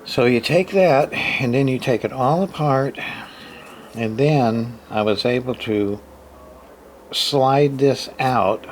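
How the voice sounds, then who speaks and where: A folksy man talks through a hands-on repair in a casual, unscripted way. He halts and thinks aloud as he demonstrates, with some steady background noise behind his voice. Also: fairly low pitch.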